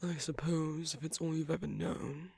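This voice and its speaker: An ASMR performer acts out a commanding villain who is tender and protective toward a lover. The voice is breathy and gravelly, often falling to a whisper. It is recorded close and dry, with deliberate, coaxing phrasing. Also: mid-range voice, fairly even intonation, a little mellow, no echo. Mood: concerned, chiding. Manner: slow, deliberate, coaxing, with long pauses. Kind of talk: ASMR roleplay, scripted intimate monologue.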